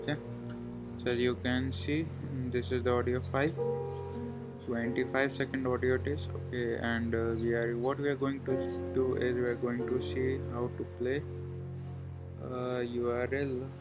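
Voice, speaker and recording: A man giving an informal programming walkthrough, thinking aloud in a hesitant, halting way as he works. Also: mid-range voice, flat delivery. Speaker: coding tutorial presenter. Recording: loud music playing behind voice.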